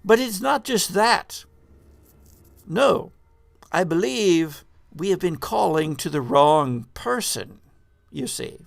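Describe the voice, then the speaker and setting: A man playing an eccentric spiritualist in a tabletop game, speaking in halting, ornate, philosophical phrases. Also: sits high, lively intonation, gravelly, no echo, full character voice. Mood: mysterious, probing. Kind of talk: in-character tabletop roleplay.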